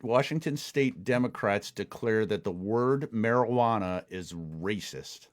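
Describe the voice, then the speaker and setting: A man with a somewhat low voice co-hosts a relaxed podcast. He mostly drops in short, dry remarks and reads news items in a plain, easygoing way. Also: a little slow. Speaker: podcast co-host. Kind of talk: casual comedic chat.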